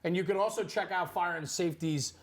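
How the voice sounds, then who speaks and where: Male interviewer, middle-aged. Voice slightly on the high side, effusive and encouraging, informal delivery. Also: brisk. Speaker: interviewer, host. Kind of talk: casual on-location interview. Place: firehouse kitchen.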